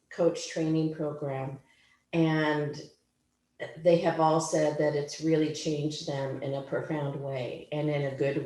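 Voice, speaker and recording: Slow low-voiced woman, halting. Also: a little mellow, roomy sound, rough recording. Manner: hesitant, many ums and pauses. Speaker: mentor coach. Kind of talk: orientation talk.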